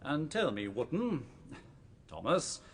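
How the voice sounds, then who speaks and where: A man acting a forceful, theatrical prosecuting barrister with a formal, probing manner in a courtroom radio drama. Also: medium pitch, big pitch swings, takes it slowly, slightly rough, heavily acted. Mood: probing, measured.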